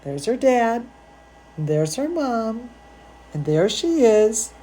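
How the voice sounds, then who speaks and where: A woman reading a picture book aloud to young children, gushing and expressive, with chatty asides to her listeners. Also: fairly high pitch, slow pace, a little hiss or hum. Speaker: dance teacher hosting a children's story time.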